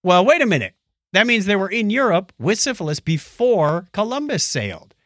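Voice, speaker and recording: A man's voice: sits high, sing-song, moderate pace, a bit bright, no echo, studio quality. A man co-hosting a podcast in casual chat, recounting stories and acting out quoted remarks as he goes. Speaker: podcast co-host.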